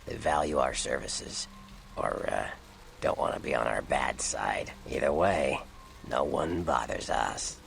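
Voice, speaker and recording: An actor voicing a cynical, sly Nosferatu vampire informant in a video game, with a gravelly American voice and a dry, sardonic manner. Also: male voice, extremely low pitch, expressive, measured pace, a little hiss or hum, exaggerated voice. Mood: proud, sly. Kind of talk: video game character dialogue.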